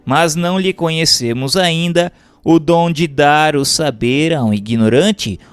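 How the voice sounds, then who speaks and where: A Brazilian man reads a formal text as a scripted narration, in a measured and carefully phrased manner. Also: on the high side, clean tone, dry recording, soft background music. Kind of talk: scripted audiobook-style reading of a doctrinal text.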